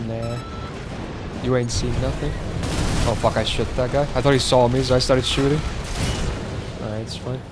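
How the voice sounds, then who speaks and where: A man's voice: medium pitch, flat delivery, moderate pace, long pauses, over music. A man streaming a game, chatting casually with his viewers and swearing now and then. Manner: chatty, swears, reads chat aloud. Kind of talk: casual gameplay commentary.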